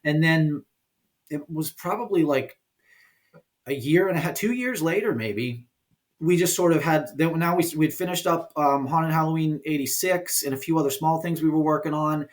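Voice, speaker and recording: A man with a slightly high voice speaks as an interview guest. His talk is casual and explanatory, with informal, halting phrasing as he pieces his thoughts together. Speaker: guest, indie game developer and producer.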